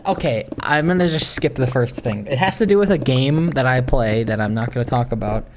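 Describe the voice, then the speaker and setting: A gaming video creator chatting casually while playing, rambling in a hesitant, halting way and often trailing off. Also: medium pitch. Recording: clearly noisy, with clicks.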